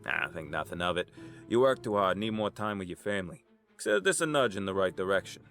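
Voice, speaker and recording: Man acting an easygoing low-voiced family uncle. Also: very animated, fast talker, a bit bright, soft background music, exaggerated voice. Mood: warm, encouraging. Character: easygoing, joking family friend, a fond uncle figure. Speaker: voice actor. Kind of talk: audio drama acting.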